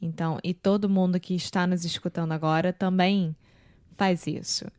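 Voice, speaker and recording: Carioca woman with a low, dry voice. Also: moderate pace, no echo. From Brazil. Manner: relaxed and explanatory, talks things through. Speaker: podcast co-host. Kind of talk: casual conversation.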